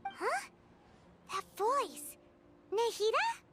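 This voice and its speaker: A woman's voice: very high-pitched, very animated, very slow, noisy background, heavily acted. A woman voicing a small, bubbly, childlike fairy companion in a video game. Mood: surprised. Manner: refers to self in third person, bubbly. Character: small childlike floating fairy companion, chirpy, food-loving. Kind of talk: video game character acting.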